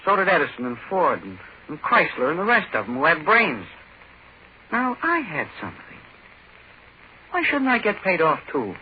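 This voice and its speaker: An actor playing a menacing, power-drunk ordinary little man in 1940s radio horror, speaking haltingly and insistently. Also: on the high side, wildly swooping pitch. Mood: halting, self-justifying. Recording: muffled, noisy old 1940s radio recording.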